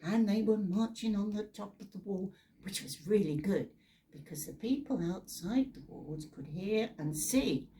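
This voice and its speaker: A woman's voice: mid-range voice, lively intonation, measured pace, dark tone, a little hiss or hum. A British woman telling Bible stories in a chatty, informal way, with theatrical asides and rhetorical questions. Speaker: storyteller.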